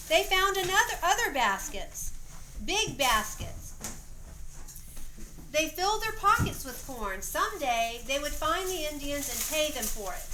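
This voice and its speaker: In a reverberant, noisy room, a woman with a high voice and a Southern US accent narrates a homemade play. She reads the story aloud and steps out of it to coach the young cast through their parts. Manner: breaks off to coach and prompt young performers. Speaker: narrator directing a children's dramatization. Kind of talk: reading a story aloud with off-script stage directions. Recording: echoey room, noisy amateur recording.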